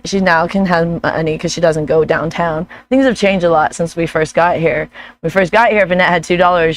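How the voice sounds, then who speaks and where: Woman vlogging, hoarse gruff voice, kinda low, rambles and laughs a lot. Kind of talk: casual chat.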